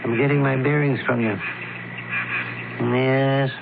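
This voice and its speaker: An actor playing a shrewd, methodical male criminologist, deliberate and authoritative, in 1970s radio drama style. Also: mid-range voice, flat delivery, gravelly. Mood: intent, methodical. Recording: noisy, narrowband old radio broadcast.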